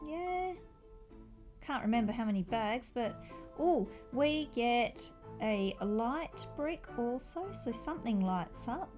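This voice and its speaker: A woman with a high-pitched voice talks through a toy set review at a slow, easy pace. Her style is chatty and informal, with enthusiastic exclamations. Faint music plays behind her. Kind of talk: casual chatty unboxing and review commentary.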